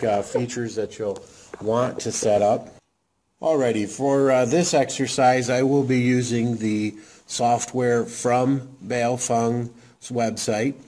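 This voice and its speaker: A man casually walking through a how-to demo, talking in a halting way with many fillers. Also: medium pitch, a little mellow. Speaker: radio hobbyist demonstrating programming. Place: indoor desk, off camera.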